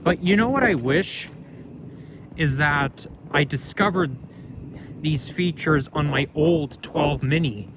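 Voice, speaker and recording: A young man with a loud voice, rambling and correcting himself as he talks through a casual walking vlog, recorded on a phone camera outdoors. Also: on the high side, slow pace. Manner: rambling, chatty, self-correcting. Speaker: vlogger. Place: outdoors on a street. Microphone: phone camera held while walking. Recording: outdoor street noise.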